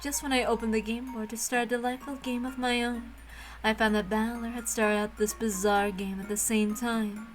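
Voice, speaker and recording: A woman's voice: on the high side, little pitch movement, normal speed, soft background music, lightly acted. A woman voicing a coolly menacing ancient witch in girl's form, dry and taunting, in an amateur visual novel reading. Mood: coolly amused.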